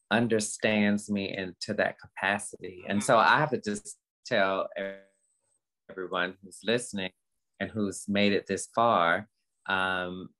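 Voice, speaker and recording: A woman in her fifties speaks candidly in a conversational interview. Her delivery is earnest and halting, and she circles back to repeat words for emphasis, in the manner of an informal motivational talk. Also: extremely low pitch, a bit bright, rough recording. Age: 50s. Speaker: guest.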